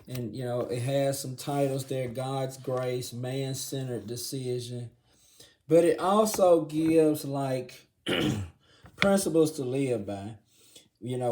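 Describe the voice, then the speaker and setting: A man hosting a casual Bible review video, his voice raspy and hoarse, often clearing his throat, and checking in with the viewer again and again. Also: mid-range voice. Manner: relaxed, filler-heavy, says "okay?" often. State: hoarse from congestion. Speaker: channel host reviewing a Bible.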